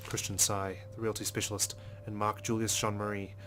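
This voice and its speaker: An actor playing a dogged, skeptical male police detective in a visual novel game, speaking in a measured, serious, matter-of-fact way over music. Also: fairly low pitch, flat delivery, a bit bright, close to an everyday voice. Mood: brooding, resolute. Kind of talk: scripted visual novel narration and dialogue. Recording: music behind the voice.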